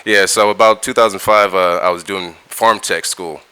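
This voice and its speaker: A man's voice: sits low, fairly even intonation, moderate pace, pushed and bright, clear, resonant tone. A middle-aged man, a music producer talking in a casual interview, laid-back and slangy, often repeating words as he restarts. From California, United States.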